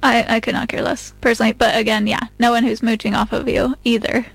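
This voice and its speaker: A woman's voice: a little high, little pitch movement, fast talker, gravelly, husky, dry recording. A woman in her mid-thirties chatting casually in a frank, colloquial way. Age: mid-30s. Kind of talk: casual chat.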